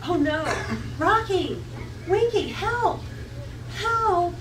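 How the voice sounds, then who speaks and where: A woman's voice: extremely high pitch, animated, drawn-out words, pitched above the performer's own voice, exaggerated voice. A woman voicing a panicked, trapped young female passenger in an amateur space adventure table read. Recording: distant noisy echoey room recording.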